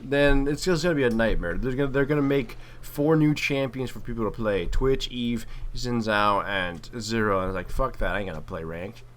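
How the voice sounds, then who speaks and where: A man's voice: mid-range voice, normal speed, few pauses. A man giving casual, opinionated gaming commentary in a slangy, profane way. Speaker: gameplay commentator and player.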